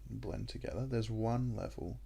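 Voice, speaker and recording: A young Australian man with a croaky voice, chatting casually and rambling with lots of ums while he plays a puzzle game. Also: fairly low pitch, moderate pace. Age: young adult. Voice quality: croaky, gravelly voice. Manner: rambling and relaxed, with many ums. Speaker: gaming YouTuber. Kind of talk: casual let's-play commentary.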